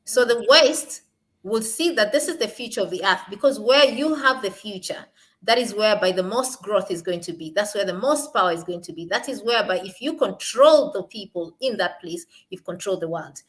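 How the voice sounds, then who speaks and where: A young woman from Kenya with a Kenyan accent, speaking at a panel in an emphatic way that rises to forceful peaks on her key points. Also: mid-range voice, animated, fairly quick. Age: young adult. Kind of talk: impassioned panel talk.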